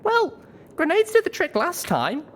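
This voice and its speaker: A man performs a young female pony adventurer in an audiobook, pitched far up into a high register. She is plucky and earnest, easily flustered and quick to exclaim. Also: wildly swooping pitch, a little hiss or hum, heavily acted. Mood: whispering, confident.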